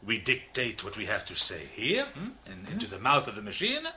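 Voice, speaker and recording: An actor playing a big, expansive, reformed French criminal in a radio drama, with a French accent and an effusive, theatrical manner. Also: male voice, on the low side, wildly swooping pitch, fairly quick, bright, forceful tone, a little hiss or hum, full character voice. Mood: enthusiastic. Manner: effusive, theatrical, with hesitant asides.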